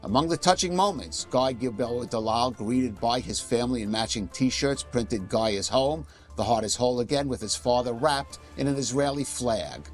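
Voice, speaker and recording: A man reading a news update as anchor, in steady newsreader delivery. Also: medium pitch.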